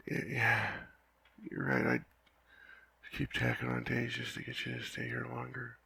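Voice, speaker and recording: Man doing a young knight, gravelly, husky, stammering, soft-spoken, close mic. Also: medium pitch, fairly even intonation, slow pace, pauses often, exaggerated voice. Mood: tender, teasing. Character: young male knight, lovesick and flustered. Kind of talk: ASMR boyfriend roleplay.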